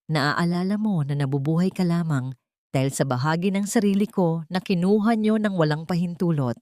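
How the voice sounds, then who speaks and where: A woman narrator plays a bitter, wronged young mother in an audio drama. She is measured and quietly menacing, in a fairly low voice. The sound is dry and close. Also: no room sound, natural voice.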